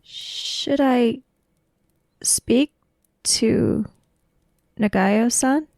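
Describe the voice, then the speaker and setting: Woman playing a slow, halting, soft spirit-speaker. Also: fairly high pitch, vocal fry, no echo, heavily acted. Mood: hesitant, polite. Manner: halting, soft-spoken, formal wording. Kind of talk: tabletop roleplay, in character.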